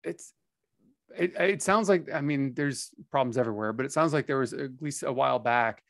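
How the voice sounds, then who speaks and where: A man's voice: medium pitch, normal speed, vocal fry, no echo. A man in his forties from the United States hosting a podcast interview over video, talking in a rambling, hesitant way full of "you know" and "um", on a close headset mic. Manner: rambling, hesitant, many fillers. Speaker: podcast host. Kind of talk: casual interview conversation. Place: small home studio room.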